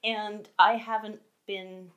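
A feminine-voiced guest talking candidly in a casual interview, chatty and quick to laugh. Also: female voice, medium pitch, flat delivery, fairly bright tone, lo-fi sound. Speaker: guest, costumed performer.

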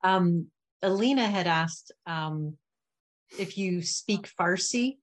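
A woman's voice: fairly low pitch, slow. A woman hosting a book podcast, asking questions and giving friendly short replies. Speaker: podcast host. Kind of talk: casual interview chat.

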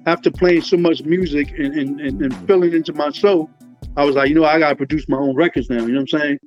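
Slangy chill guy, kinda high voice, lots of "you know what i'm saying", muffled lo-fi audio w faint music. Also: fairly even intonation, quick pace. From Liberia. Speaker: guest musician. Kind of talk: casual interview talk. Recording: dull lo-fi sound with faint music.

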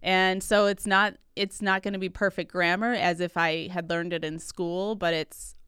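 A woman's voice: on the low side, little pitch movement, normal speed, fairly bright tone, clean tone, dry recording, clean studio sound. A young adult American woman chatting casually as a podcast guest. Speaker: podcast guest, school social worker. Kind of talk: casual chat. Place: podcast studio.

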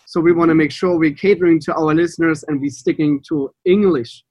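A man's voice: a little high, steady tone, brisk, darkish tone, dry recording. Upbeat German-accented male podcast host. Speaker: podcast host, water sommelier. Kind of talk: casual podcast chat.

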